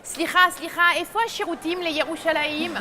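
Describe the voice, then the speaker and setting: Lively high-voiced woman telling stories. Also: moderate pace, a loud crowd. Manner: lively storytelling, laughing often. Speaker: columnist. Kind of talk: comic chronicle on a talk show.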